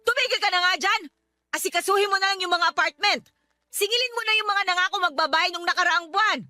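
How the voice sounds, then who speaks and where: A woman's voice: very high voice, quick pace, pushed and bright, no echo, heavily acted. A woman acting a domineering older mother in a radio drama, scolding and bossy as she threatens.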